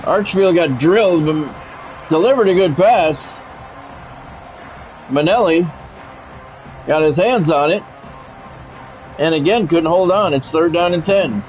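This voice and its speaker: A man's voice: a little high, slow pace, long pauses. Dull-sounding man calling football play-by-play. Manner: radio-announcer phrasing with casual asides. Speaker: streamer doing play-by-play. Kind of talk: sports play-by-play commentary. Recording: dull, lo-fi audio with music behind.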